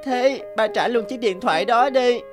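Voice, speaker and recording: An earnest, anxious small boy voiced by a woman in a cartoon. The voice is fairly high and pleading, and music plays underneath. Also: normal speed, close to an everyday voice. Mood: concerned. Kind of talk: cartoon voice acting. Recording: music bed behind the voice.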